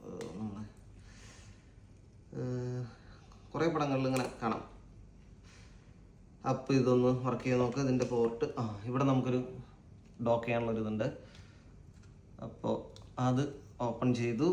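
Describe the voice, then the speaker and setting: A man's voice: medium pitch, fairly even intonation, moderate pace, pauses often, darkish tone. Male vlog host, Malayali accent. Informal, hesitant unboxing commentary. Roomy, echoing sound with slight background noise. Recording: echoey room with faint background noise.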